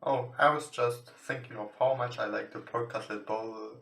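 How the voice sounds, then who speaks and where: A man who is not a native English speaker, casually commentating on a game and reading its text aloud in hesitant, halting English. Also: mid-range voice, fairly even intonation, gravelly. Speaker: let's play host. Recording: roomy, distant mic with music behind. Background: soft guitar game music.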